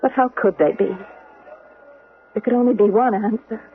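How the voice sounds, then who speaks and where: A woman's voice: fairly high pitch, steady tone, normal speed, lightly acted. An actress playing a bewildered, insistent woman tourist in an old-style radio drama, with a dramatic, emphatic delivery. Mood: dazed, disbelieving. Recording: narrow-band, noisy radio broadcast recording.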